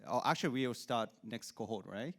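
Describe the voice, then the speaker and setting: A man's voice: medium pitch, expressive, fairly quick, vocal fry, a bit bright. A man from a foundation giving unprepared remarks at a conference, hesitant, with many fillers and restarts. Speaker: foundation staff member. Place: large conference hall.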